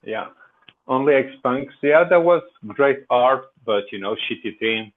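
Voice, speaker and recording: A man's voice: medium pitch, lively intonation, measured pace. A man with an accent mixing French and Portuguese, chatting casually as a podcast guest, hesitant with many fillers. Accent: French and Portuguese mix. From France. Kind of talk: casual chat. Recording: tinny phone-like line.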